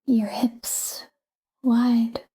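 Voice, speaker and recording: A woman guiding a sleep meditation in a calm, hushed, breathy whisper, soothing and soft. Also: a little high, extremely slow.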